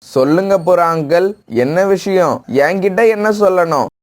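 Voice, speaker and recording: A man's voice: sits high, normal speed, close to an everyday voice. A man voicing a lazy, selfish young male bird, blunt and dismissive, in a children's cartoon. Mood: impatient. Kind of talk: acted children's moral story cartoon.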